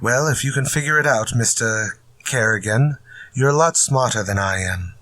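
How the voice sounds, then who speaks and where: An actor playing a frustrated, plain-spoken writer in a retro-style radio drama. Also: male voice, mid-range voice, moderate pace, sharp tone, no room sound, natural voice. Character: frustrated male writer stuck on his murder story.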